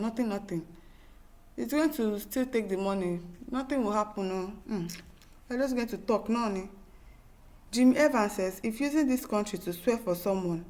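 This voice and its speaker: A woman's voice: mid-range voice, darkish tone. A woman with a Nigerian accent hosting an opinionated news commentary, speaking emphatically and in exasperation, with repetition and rhetorical questions. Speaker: talk show host reading viewer comments.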